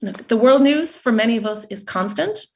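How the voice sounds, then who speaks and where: A woman opens an online festival as its host, sincere and grateful, pausing now and then with small hesitations. Her voice has a gravelly, fry-edged texture. She sits some distance from the mic, so the sound is a little remote. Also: a little high, animated, a little mellow. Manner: earnest and appreciative, with a few hesitations. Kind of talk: online festival opening address. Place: home.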